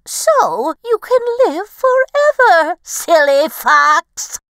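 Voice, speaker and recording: Woman playing a grand, slow-talking crow fortune-teller. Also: extremely high pitch, very animated, fairly bright tone, pitched above the performer's own voice, exaggerated voice. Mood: gleeful, urging. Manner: theatrical, knowing, coaxing.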